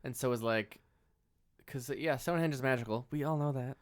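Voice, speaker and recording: A man's voice: medium pitch, fairly quick, no echo, studio quality. A man co-hosting a podcast, talking casually about films with a wry, sarcastic manner. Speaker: podcast co-host. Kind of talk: casual film discussion chat.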